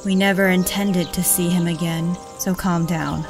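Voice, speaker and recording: A woman playing a pragmatic, calm, weary thief in an audio drama. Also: lowish voice, normal speed, music in the background, lightly acted. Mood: practical, calm.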